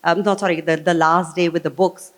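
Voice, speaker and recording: A woman's voice: fairly low pitch, fairly even intonation, normal speed. A woman with an Indian accent moderating a stage talk in an informal, conversational and digressive way. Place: festival hall stage. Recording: echoey hall with long reverb.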